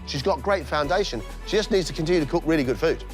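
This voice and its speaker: A man's voice: on the high side, animated, quick pace. A man presenting and judging a cooking competition in an upbeat, announcing manner. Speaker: judge. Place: TV studio kitchen. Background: background music.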